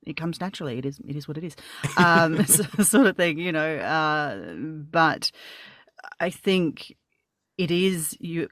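A man's voice: fairly high pitch, lively intonation, unhurried, sharp tone, no echo, studio quality. A man with an Australian accent hosting a casual interview, asking rambling, hesitant questions full of fillers. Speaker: podcast host, audio creator.

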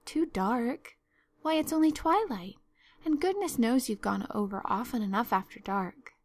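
A woman voicing a stern, sensible older woman in a storybook, brisk, sarcastic and scolding. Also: on the high side, very animated, voice pushed up. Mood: brisk, impatient. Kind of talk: storybook narration.

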